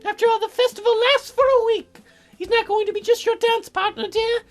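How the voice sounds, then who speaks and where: A woman's voice: extremely high pitch, fairly even intonation, brisk, faint music behind, voice pushed up. Woman putting on a bawdy teasing grandma. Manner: sweetly teasing, crude jokes.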